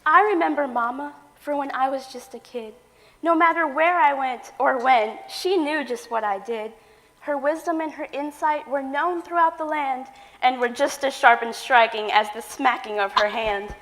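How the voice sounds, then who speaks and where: An earnest, dramatic grown daughter, played by a woman in a stage comedy. Her voice is high and bright, with emphatic theatrical delivery, ringing in a hall with long reverb. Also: normal speed.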